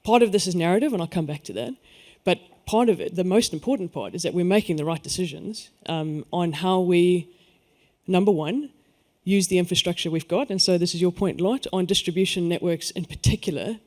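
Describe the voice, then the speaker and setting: A man's voice: sits high, a little fast, darkish tone. South African man, emphatic panel speaker. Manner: emphatic, lifts his voice on key points then trails off. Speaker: energy industry panelist. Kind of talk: conference panel discussion.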